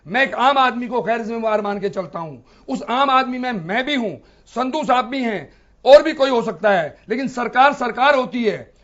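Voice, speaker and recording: High-voiced man arguing point by point. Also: a bit bright. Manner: point-by-point, challenging with yes-or-no questions. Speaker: debate panelist, political commentator. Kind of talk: argumentative TV debate talk. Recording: dull, band-limited broadcast audio.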